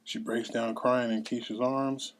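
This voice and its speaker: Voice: medium pitch, flat delivery, unhurried. A narrator reading scene headings and stage directions in scripted narration at a table read.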